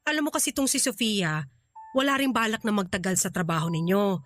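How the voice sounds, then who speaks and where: A woman's voice: mid-range voice, lively intonation, a little fast, a bit bright, soft background music, natural voice. A woman playing a critical, blunt middle-aged aunt in a radio drama, needling in a Filipino accent. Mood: critical, cutting. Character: sharp-tongued, critical aunt vetting her niece's suitor. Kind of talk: Tagalog radio drama acting.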